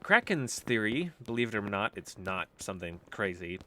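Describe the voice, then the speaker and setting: An actor playing an excitable, self-satisfied member of a biker crew in an audio drama. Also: low voice, normal speed, slight background noise. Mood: matter-of-fact. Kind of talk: animated audio drama acting.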